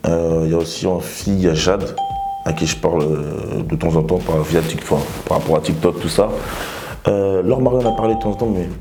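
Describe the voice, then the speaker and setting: A young man in his twenties with a very low, deep voice answers interview questions at a brisk clip. He rambles casually, leaning on fillers and restarts as he tells his side of things. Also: music in the background. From Togo. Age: 20s. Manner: relaxed, rambling, heavy fillers. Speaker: reality TV contestant.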